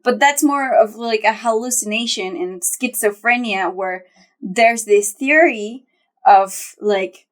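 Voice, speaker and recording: A woman's voice: a little high, slow. A young woman co-hosting a podcast, chatting casually and thinking aloud, with lots of fillers and restarts. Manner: thoughtful, rambling with many fillers and restarts. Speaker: podcast co-host. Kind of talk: casual chat.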